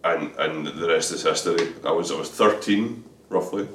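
A man's voice: low voice, normal speed, fairly bright tone, slight background noise. A Scottish man, a drummer, chatting candidly in an interview with frequent stammered restarts. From Scotland. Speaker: drummer guest. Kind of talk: casual interview chat. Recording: echoey room from a distance.

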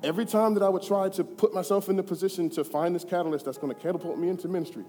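A man in his thirties shares his testimony in a church hall, his slightly high voice ringing with long reverb. Soft music plays faintly behind him. He talks in an earnest, conversational way that swings from hushed to emphatic. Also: fairly even intonation, racing. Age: 30s. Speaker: church speaker giving testimony. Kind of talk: personal testimony.

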